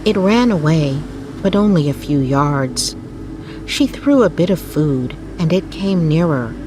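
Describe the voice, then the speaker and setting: A woman narrating a scripted bedtime story in a soothing, measured manner with careful phrasing. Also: low-pitched, animated, noisy background. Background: music.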